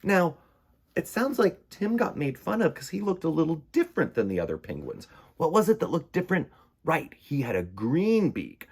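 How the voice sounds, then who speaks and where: A man hosting a children's storytime, reading picture books and chatting in simple, expressive, conversational language. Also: fairly high pitch, moderate pace, husky. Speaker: host of a children's storytime show.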